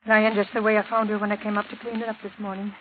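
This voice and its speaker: A woman's voice: mid-range voice, level tone, fast, natural voice. An actress playing a flustered, defensive rooming-house landlady in a 1930s radio drama, speaking hesitantly. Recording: tinny, noisy 1930s radio recording.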